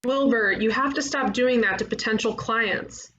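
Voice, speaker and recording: A woman playing a headstrong, blunt thirty-year-old detective in an animated comedy table read. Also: on the high side, normal speed, lightly acted. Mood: insistent. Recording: muffled lo-fi remote microphone, faint noise.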